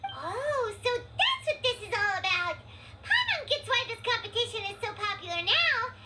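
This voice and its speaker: A super high kiddie fairy mascot for a video game, bouncy swooping voice, curious and chirpy. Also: female voice, very animated, normal speed, heavy background noise. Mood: amazed, curious. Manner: exclamatory, refers to self in third person. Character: tiny floating fairy companion, childlike, chirpy and curious. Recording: loud music over distant game audio.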